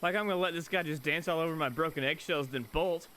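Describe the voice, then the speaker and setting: A man's voice: a little high, fast, bright, forceful tone, a little hiss or hum. A man voicing a sarcastic, dramatic young heroine as he reads visual novel narration aloud. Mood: wry. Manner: wry, melodramatic.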